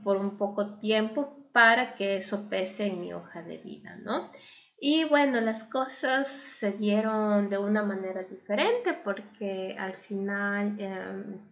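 A woman's voice: mid-range voice, creaky, a bit bright, highs cut off. A young adult woman living abroad, speaking candidly and thoughtfully in a casual interview, with many fillers. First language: not German. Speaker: interview guest.

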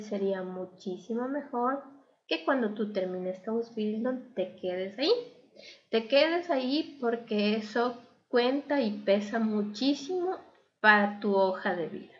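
A woman's voice: on the high side, a little slow. Candid Latin American woman, slightly muffled. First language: not German. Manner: thoughtful, candid, rambling with fillers. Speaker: interview guest. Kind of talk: casual interview answers.

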